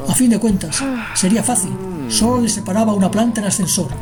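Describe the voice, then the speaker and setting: A woman's voice: medium pitch, fast talker. A woman narrating a scripted radio drama as the storyteller. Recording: music behind the narration.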